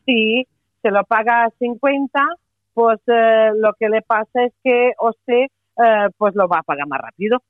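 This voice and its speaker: A woman with a Portuguese accent, a company representative making a persuasive sales pitch by phone on the radio, hesitating often with "eh". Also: a little slow, no echo. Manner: persuasive, many hesitations and "eh" fillers. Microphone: telephone. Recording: tinny phone line, dry.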